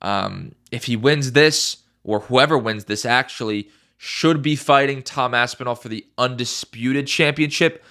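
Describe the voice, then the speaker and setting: A young man talks through upcoming fights in a loose, emphatic way, repeating his strongest points and cursing casually. His voice is congested and stuffy. The tone is bright and forward, at a middling pitch. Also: unhurried. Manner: emphatic, repeats key phrases, swears casually. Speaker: MMA YouTuber.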